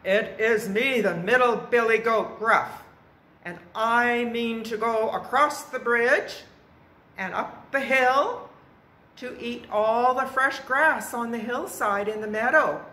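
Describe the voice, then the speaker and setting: Woman as an earnest, high-voiced middle billy goat. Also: slow pace, bright, forceful tone. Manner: polite, earnest, higher than the narration. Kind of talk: children's storytelling. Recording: echoey room.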